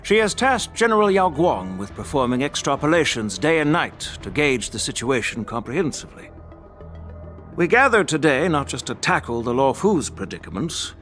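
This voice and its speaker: A man playing a venerable elder strategist in a video game, speaking in a formal, deliberate, weighty way. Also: mid-range voice, a bit bright. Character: venerable elder statesman, measured, sagely strategist. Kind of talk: scripted video game dialogue.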